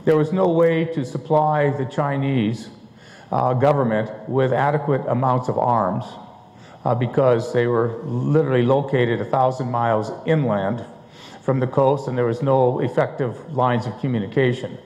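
Older male lecturer at a lectern, measured delivery with small stumbles, voice ringing in a big hall. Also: medium pitch, steady tone, a little mellow, muffled recording. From United States. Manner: measured reading with restarts and fillers. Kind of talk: public history lecture read from notes. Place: large auditorium stage at a lectern. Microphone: lectern mic at a distance. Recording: roomy hall reverb.

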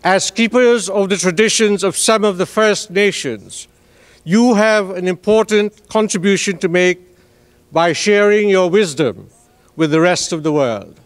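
A middle-aged man gives a formal prepared opening speech at a conference, in measured phrasing. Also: sits high. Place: large hall, at a lectern. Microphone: stand microphone on lectern. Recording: reverberant hall.

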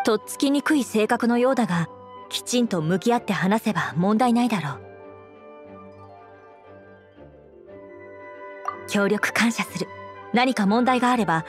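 An actress playing an earnest, composed young woman knight commander in an anime game, speaking in a formal, measured, dutiful way. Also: a little high, natural voice. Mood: courteous.

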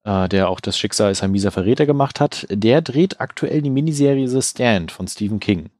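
Lower-voiced guy on a movie podcast, easygoing, dry mic. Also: fairly quick, dry recording. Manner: relaxed, affirming, recommending. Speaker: podcast co-host. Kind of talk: casual film news chat.

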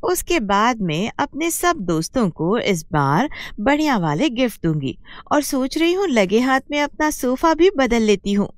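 A woman's voice: on the high side, very animated, a little slow, hardly any pauses, dry recording, studio quality. A woman playing a boastful, demanding, newly rich housewife in a cartoon, showy and emphatic. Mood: bragging.

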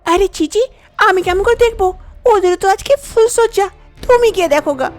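A woman's voice: extremely high pitch, expressive, moderate pace. A woman voicing a shy, fretful young bride in a cartoon, fussing at her husband. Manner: fussing, complaining. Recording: music bed under the voice.

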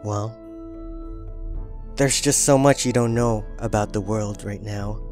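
A man's voice: lowish voice, steady tone, slow, a little mellow, faint music behind. A man hosting and acting in a dramatic improvised Minecraft roleplay. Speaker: gaming roleplay host.

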